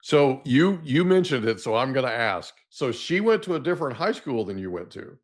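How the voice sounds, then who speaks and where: A man's voice: mid-range voice, very animated, normal speed. A middle-aged American man from Oklahoma hosting an interview podcast in a casual, chatty, folksy way that wanders into anecdotes. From Oklahoma, United States. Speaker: podcast host.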